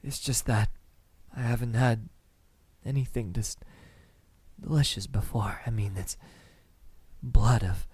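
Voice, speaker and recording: A man playing a courteous, lovestruck vampire in an intimate ASMR roleplay, speaking softly in formal words with hesitant pauses. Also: mid-range voice, husky, no echo. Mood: hesitant, longing. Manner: hesitant, soft-spoken, formal wording with lingering pauses.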